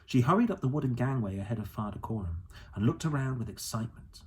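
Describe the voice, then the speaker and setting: A man's voice: medium pitch, animated, fast talker. A man reading a storybook aloud as an audiobook narrator. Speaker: audiobook reader.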